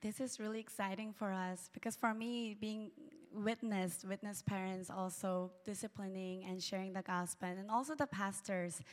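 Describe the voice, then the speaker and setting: A woman's voice: mid-range voice, fairly even intonation, normal speed, few pauses. A woman whose first language is Tagalog, speaking earnestly as a missionary at a church mission presentation. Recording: long hall reverb.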